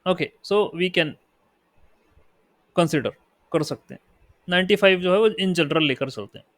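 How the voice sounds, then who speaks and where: A fairly high-pitched man with an Indian accent gives a lesson, moving between Hindi explanation and slow, careful English definitions. His delivery is unhurried and deliberate. The recording is dry and close, with little room sound. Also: dry recording. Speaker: teacher. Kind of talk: lecture.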